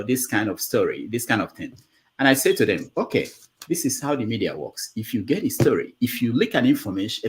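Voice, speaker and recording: A man hosting a panel discussion, explaining things point by point in an emphatic way. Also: mid-range voice. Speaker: show host.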